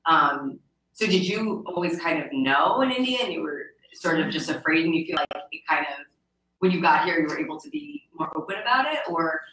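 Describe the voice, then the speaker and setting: A woman's voice: low-pitched, sing-song, brisk, fairly bright tone, recorded from a distance, a little hiss or hum. A woman hosting a casual podcast interview, speaking in an informal, chatty way and often checking in with "right?". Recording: roomy echo, off the mic.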